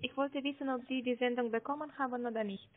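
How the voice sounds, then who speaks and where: High-voiced woman on a phone line. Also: fairly even intonation, quick pace. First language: not German. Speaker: call center agent. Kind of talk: sales phone call. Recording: muffled narrow phone line.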